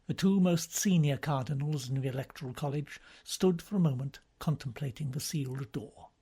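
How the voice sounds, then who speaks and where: Man narrating a novel, slightly husky. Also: fairly high pitch, moderate pace, dark tone. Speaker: audiobook narrator.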